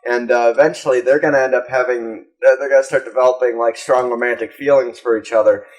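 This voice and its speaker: A man's voice: medium pitch, fairly even intonation, fast talker, a little mellow, soft background music, poor audio. A man co-hosting a casual comedy podcast, joking crudely and irreverently in loose conversation. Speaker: podcast co-host.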